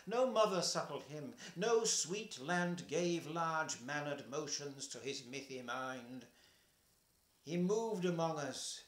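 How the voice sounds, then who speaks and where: Older man, poetry reading, measured delivery, webcam in echoey kitchen. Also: a little high. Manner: slow, measured, trailing softly at line ends. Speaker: poetry reader. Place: home kitchen, indoors.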